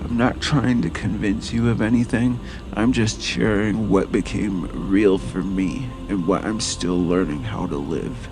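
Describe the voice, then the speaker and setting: Male presenter, low register with heavy vocal fry, earnest and measured scripted motivational read. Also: fairly even intonation, low-quality recording. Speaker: narrator and presenter. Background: music.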